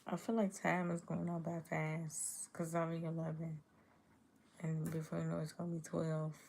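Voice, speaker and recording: A woman from Chicago hosts a casual livestream. She chats easily and answers viewers' comments aloud. Her voice sits low and stays level, with a gravelly edge. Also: little pitch movement, moderate pace, darkish tone, slight background noise. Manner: easygoing, chatty, reads chat comments aloud and answers them. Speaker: livestream host.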